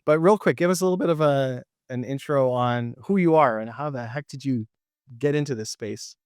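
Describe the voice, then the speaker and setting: A man's voice: medium pitch, fairly quick, darkish tone, clean tone, no room sound, clean studio sound. A man hosting a podcast, asking questions and praising his guest enthusiastically. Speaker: podcast host. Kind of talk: interview host chat.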